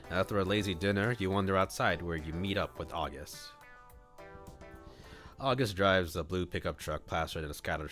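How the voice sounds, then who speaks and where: Low-voiced man narrating a story. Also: fairly bright tone. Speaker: streamer reading a visual novel aloud. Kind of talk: storytelling narration with acted dialogue.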